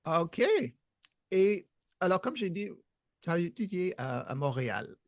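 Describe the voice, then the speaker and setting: A man hosting a casual interview podcast, speaking in a hesitant, halting way. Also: sits high, lively intonation, no echo, clean studio sound. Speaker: podcast host.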